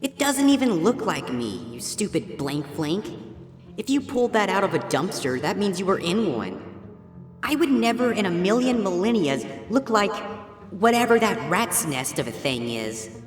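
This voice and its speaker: A man's voice: sits high, very animated, normal speed, roomy sound, big-room sound, soft background music, pitched above the performer's own voice. A man voicing a snobbish young girl pony bully, taunting and haughty, in a dramatic story reading. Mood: scornful. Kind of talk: dramatic fan fiction character reading.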